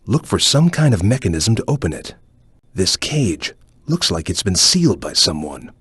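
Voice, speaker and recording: A man playing a calm, serious ninja chameleon in a video game, speaking in a measured, stoic and focused way with a low, husky voice. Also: no room sound, clean studio sound, full character voice. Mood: calm, instructive. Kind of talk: video game voice lines, hints and tutorial instructions.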